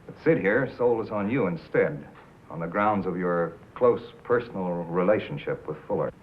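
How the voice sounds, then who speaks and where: A matter-of-fact network boss, 50s movie, low-ish voice, faint noise on muffled old audio. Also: male voice, animated, moderate pace. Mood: businesslike. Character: businesslike, authoritative male network executive. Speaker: actor in a feature film. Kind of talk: 1950s film drama dialogue. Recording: muffled narrow vintage film soundtrack.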